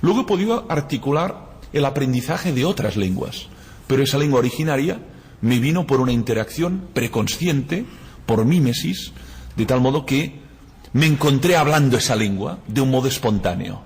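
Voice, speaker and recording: A man with a husky, slightly breathy voice, a native Catalan speaker, gives a university lecture at a lectern microphone. He reasons in a reflective way, building his points through analogies and tag questions. His voice rings with the long reverb of a large lecture hall. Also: mid-range voice, animated, moderate pace. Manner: reflective, explains with analogies and rhetorical "¿no?". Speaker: guest lecturer.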